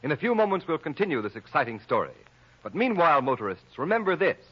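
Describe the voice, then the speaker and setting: A man's voice: fairly high pitch, big pitch swings, fast, slightly rough. A man announcing a commercial in an emphatic, declamatory 1930s radio style. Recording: muffled 1930s radio recording.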